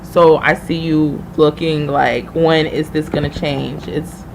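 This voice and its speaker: A woman's voice: deep voice, steady tone, takes it slowly, creaky. Woman reading tarot live over background noise. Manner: informal, familiar, thinking aloud. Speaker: tarot reader hosting a livestream. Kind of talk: casual live tarot reading, chatting with viewers.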